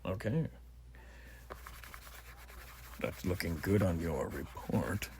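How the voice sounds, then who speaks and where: A man's voice: sits low, lively intonation, measured pace, slight background noise. A man playing an earnest, proud revolutionary army officer in a roleplay, speaking to the listener in a formal, rambling, stately way. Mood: brisk, clinical. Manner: formal, rambling, theatrically stately, hushed asides. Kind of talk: roleplay voice acting addressed to the listener.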